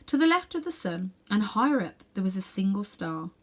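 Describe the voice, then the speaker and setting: A woman narrating a children's story aloud in an expressive storytelling style. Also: mid-range voice, brisk. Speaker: storybook reader.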